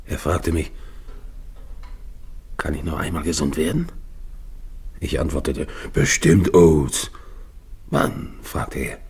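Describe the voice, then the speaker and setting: An actor playing an anguished, guilt-ridden, dying polar expedition captain in a German radio play, with halting, brooding delivery. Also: male voice, a bass voice, steady tone, a little mellow, husky, full character voice. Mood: grieving, weary.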